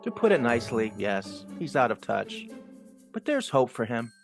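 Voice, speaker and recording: A no-nonsense male mouse mentor, acted by a man, skeptical and blunt. His mid-pitched voice moves up and down a lot, in audio drama style with music clearly underneath. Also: big pitch swings, moderate pace. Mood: wry, firm.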